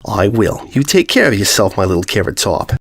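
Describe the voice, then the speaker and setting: A man's voice: lowish voice, very animated, brisk, creaky, slightly rough, no echo, clean studio sound, exaggerated voice. A man voicing a cheerful, teasing middle-aged pony mother who is doting, blunt and effusive in a storybook reading. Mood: resigned, affectionate. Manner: effusive, coaxing, uses endearments.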